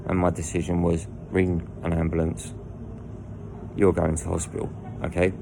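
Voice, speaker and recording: A man with a croaky voice, telling his own story in a rambling way full of restarts and asides. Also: sits low, monotone, normal speed, background noise. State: croaky voice from lying down. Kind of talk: candid personal storytelling. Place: indoors lying down at home.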